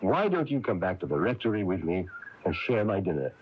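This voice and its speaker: Minister for radio play: very low, avuncular, coaxing, chatty; noisy old broadcast tape sound. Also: male voice, big pitch swings, brisk. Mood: curious, encouraging. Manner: avuncular, coaxing, hesitant phrasing. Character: kindly, chatty small-town minister, gently prying. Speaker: radio drama actor. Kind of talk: 1980s radio drama acting. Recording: dull, noisy AM radio recording.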